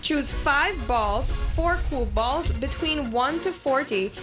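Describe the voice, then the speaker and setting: A woman reading a scripted commercial voice-over in a clear, upbeat promotional manner. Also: high-pitched, animated, slow, over music. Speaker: commercial announcer.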